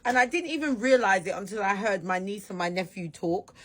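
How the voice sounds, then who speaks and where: A woman hosting a radio show with a naturally husky voice, chatting with a guest in a teasing, chatty way that breaks into laughter easily. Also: mid-range voice, lively intonation, brisk, fairly bright tone. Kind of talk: casual on-air chat.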